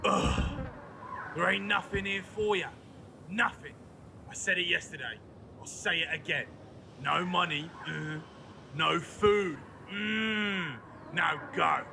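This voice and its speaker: An actor playing a gruff, dismissive scrap-town man in a fantasy audio drama, curt and rough-spoken with a harsh, creaky voice. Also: high-pitched, slow, pauses often, sharp tone, slight background noise, heavily acted. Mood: irritated.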